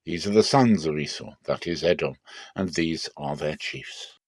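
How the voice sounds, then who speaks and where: Man giving a measured dramatic scripture reading. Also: very deep voice, a little mellow, no echo. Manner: measured, deliberate phrasing with pauses. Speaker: Bible reader.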